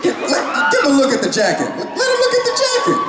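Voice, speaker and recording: Convention host, male, hoarse and lively, high-pitched, echoey hall with loud crowd and music. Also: quick pace. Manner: excited, shouting to a crowd. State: losing his voice. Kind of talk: live stage interview.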